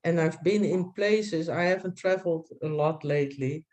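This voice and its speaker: A woman with a Dutch accent, a guest chatting on a video call, speaking haltingly with many restarts and fillers. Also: on the low side, noisy background. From Netherlands. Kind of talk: casual video call chat.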